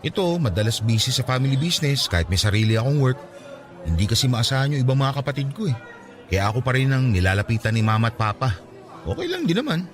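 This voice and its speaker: A man playing animated, caring childhood friend. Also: mid-range voice, fast talker, soft background music, natural voice. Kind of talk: radio drama acting.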